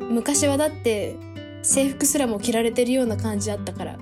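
A woman playing a gentle, agreeable young woman reunited with an old friend in a casual improvised radio drama. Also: a little high, brisk, natural voice. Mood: pleased, nostalgic. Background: plucked guitar music.

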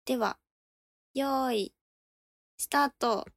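A woman's voice: sits high, takes it slowly, heavy background noise. A woman performing casual improvised comedy drama, speaking politely and given to giggles. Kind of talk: casual improvised comic acting.

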